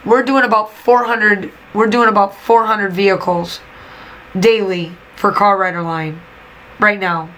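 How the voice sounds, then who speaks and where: A woman's voice: medium pitch, steady tone, measured pace, fairly bright tone, poor audio. A woman, a teacher who runs a craft channel, chatting and venting in a casual voiceover while she is losing her voice. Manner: chatty, venting, emphatic repetitions. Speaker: teacher and scrapbooking channel host. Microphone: voiceover mic.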